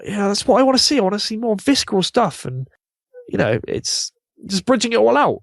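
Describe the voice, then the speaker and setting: A man's voice: high-pitched, very animated, a little fast, gravelly, no echo, clean studio sound. A man speaking as a podcast guest in an eager, rambling, conversational fan style. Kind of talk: casual chat.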